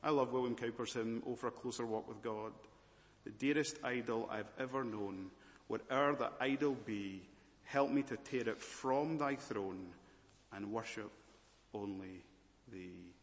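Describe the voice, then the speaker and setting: A man with a Scottish accent preaching a sermon, moving between hushed and loud emphatic passages. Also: medium pitch, a little slow, long reverb tail. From Malawi, raised as Scottish.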